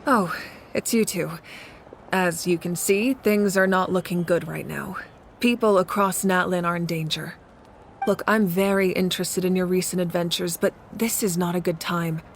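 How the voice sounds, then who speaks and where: Woman playing a measured, resolute ruler. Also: fairly low pitch, steady tone, slight background noise, close to an everyday voice. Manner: measured, serious. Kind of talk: game dialogue.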